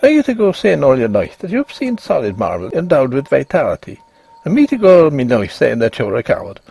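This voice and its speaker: Casting: male reader as brisk, no-nonsense young male doctor for a gothic tale, emphatic, very lively pitch, slightly rough voice. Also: full character voice. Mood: indignant scolding. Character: blunt, rational young male doctor, amused and scolding.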